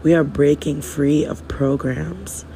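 A man, hoarse from losing his voice, giving a rambling, preachy spiritual podcast monologue in casual slang. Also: on the high side, steady tone, takes it slowly, mellow, faint music behind, low-quality recording.

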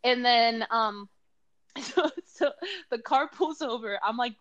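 A woman's voice: fairly high pitch, slow pace. A woman in her twenties from Mexico, co-hosting a casual podcast and telling a story with laughs and acted-out voices. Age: 20s. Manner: animated, giggly, acts out voices in stories. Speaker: podcast co-host.

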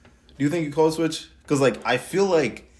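A man's voice: a little high, moderate pace, a little mellow. A young man from Minnesota asking questions in a blunt, slangy, casual way. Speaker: interviewer. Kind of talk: casual conversation.

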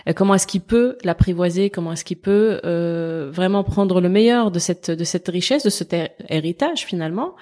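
A woman hosting a podcast who asks questions softly and hunts for her words as she talks. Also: fairly low pitch, normal speed, few pauses. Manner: soft-spoken, searching for words. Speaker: podcast host. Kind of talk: interview questions.

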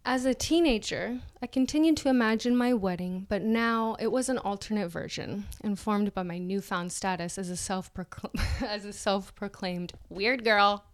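A woman's voice: medium pitch, moderate pace, few pauses. Woman reading aloud with laughs. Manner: reads aloud, breaks into laughter and asides. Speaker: podcast co-host. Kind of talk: dramatic reading of an article with banter.

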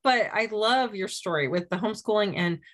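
A woman hosting a casual interview, enthusiastic and affirming, who often backs up her guest with quick agreement. Her pitch sits in a middle range. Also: fairly bright tone. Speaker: interview host.

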